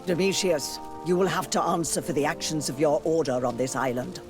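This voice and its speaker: An actor playing a stern, authoritative high church dignitary in a video game, speaking formally and imperiously. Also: male voice, brisk, over music, natural voice. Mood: stern, reproachful. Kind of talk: scripted video game voice acting.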